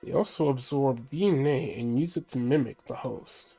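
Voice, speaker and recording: A man reading out a stern male ship computer giving mission orders, measured and plain, his voice stuffy from a cold. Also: medium pitch, warm tone, husky, faint music behind. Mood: briefing. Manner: reads briefing text aloud, measured.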